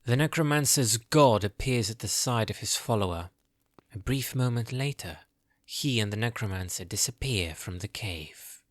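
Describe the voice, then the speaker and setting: A man's voice: fairly low pitch, no echo, studio quality. A man narrating a scripted fantasy story.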